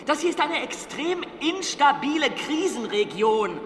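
A man's voice: very high-pitched, big pitch swings, a little slow, a bit bright, full character voice. An actor playing an insistent, exasperated male gatekeeper of a secluded commune, lecturing and arguing in a radio drama. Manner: lecturing, argumentative. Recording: echoey hall with noise behind.

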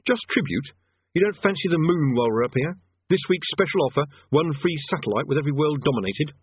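A man's voice: a little high, lively intonation, fast talker, husky, dry recording, phone-quality sound, voice pushed up. A man playing a weary, nervously cautious, sarcastic adult man with a dry, exasperated manner in an audiobook story.

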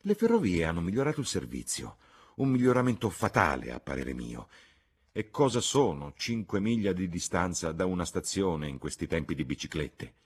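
A fussy country gentleman and landlord as a man acts him out for an audiobook. He is snobbish and wordy, complaining in a slightly husky voice that rises and falls freely. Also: low voice, big pitch swings, moderate pace, heavily acted. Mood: excited. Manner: fretful, wordy, exclamatory. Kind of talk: audiobook character voice.

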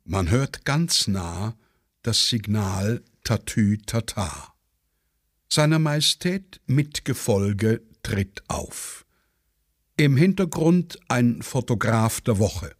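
A man narrating a radio play, reading stage directions in a measured, deliberate way. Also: mid-range voice, animated. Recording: dry studio sound with faint music.

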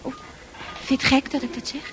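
A woman's voice: fast, fairly bright tone, faint music behind, close to an everyday voice. An actress in a Dutch radio play playing a candid, troubled young woman who talks freely and confides with emphasis. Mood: earnest.

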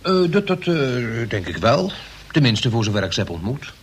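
An actor in a Dutch radio play playing a diffident, guileless boyfriend who speaks in a hesitant stammer. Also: male voice, medium pitch, big pitch swings, moderate pace, slight background noise. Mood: sheepish candour.

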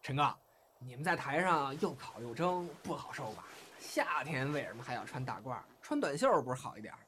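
An actor playing a playful, love-struck young man, teasing and excitable, with a northern Chinese accent in an audio drama. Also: medium pitch, brisk, noisy background, natural voice. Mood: curious, chatty. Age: young adult.

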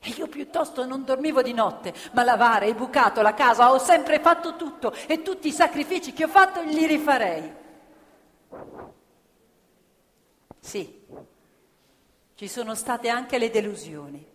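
A woman's voice: fairly high pitch, lively intonation, a bit bright. Proud, grieving factory woman, echoing hall. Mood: proud, regretful. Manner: emphatic repetitions, deliberate phrasing. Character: former female factory worker, proud, grieving union militant. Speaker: stage actress in radio theatre. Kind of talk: dramatic theatrical monologue. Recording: reverberant theatre hall, music playing along. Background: live violin music.

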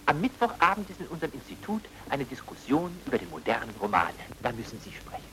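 An actor playing a fussy, garrulous, self-important cultural official in a German radio crime play, busy and eagerly hospitable. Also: male voice, medium pitch, wildly swooping pitch, fast, sharp tone, slight background noise, rough recording. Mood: pompous, eager. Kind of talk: mid-century German radio comedy-thriller acting.

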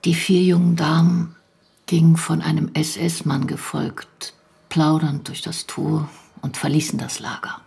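An actress playing a stern, bitter postwar investigator in a German radio drama, speaking in a controlled, cutting and deliberate way. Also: female voice, low-pitched, little pitch movement, vocal fry, dark tone, slightly rough, full character voice. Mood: grave, measured.

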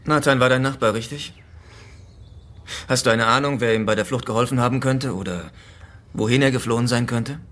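A man acting police sergeant, average pitch, probing, questioning, radio play. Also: a little fast, pauses often, fairly bright tone, close to an everyday voice. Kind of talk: radio drama acting, interrogation.

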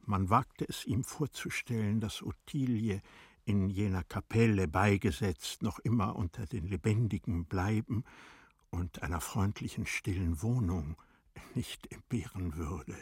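A man narrating a tragic classic story in a radio play, in a solemn, measured, dramatic manner. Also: fairly low pitch, slightly rough, no room sound, clean studio sound. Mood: grave.

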